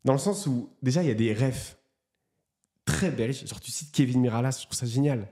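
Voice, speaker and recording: A man's voice: mid-range voice, moderate pace, mellow. A man hosting a casual interview, talking with many hesitations and restarts. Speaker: host.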